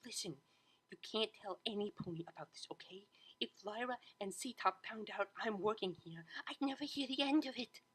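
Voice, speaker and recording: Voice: normal speed, a bit bright, slightly rough, low-quality recording. A narrator voicing a nervous, chatty young mare waitress in a story, anxious and rambling. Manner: anxious, rambling, high-strung.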